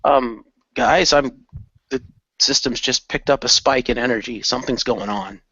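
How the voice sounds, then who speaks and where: A man's voice: mid-range voice, moderate pace, pushed and bright, breathy edge, dry recording. A man playing a casual techie on a hero team in an amateur radio play, talking informally. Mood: alert. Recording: dull lo-fi voice recording.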